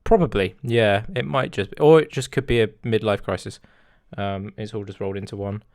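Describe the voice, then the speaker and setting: A young man in his twenties chatting casually as a podcast host, rambling through his thoughts with plenty of ums. His voice sits on the low side and the mic is dry and close. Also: steady tone, fairly quick, dry recording. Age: 20s. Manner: rambling, lots of fillers and laughter.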